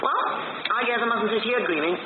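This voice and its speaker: An actress playing a wistful, homely middle-aged doctor's wife, folksy and hesitant, in 1940s radio drama style. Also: female voice, on the high side, expressive, fairly quick, natural voice. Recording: tinny, noisy 1940s radio recording, roomy echo.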